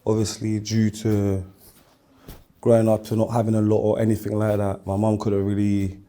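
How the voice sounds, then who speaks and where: A man's voice: fairly low pitch, monotone, normal speed, mellow. A man with a South-East London accent chatting casually as a podcast guest, talking in slangy street style. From Woolwich, London; born in France. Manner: slangy, uses tags like "innit" and "you get me".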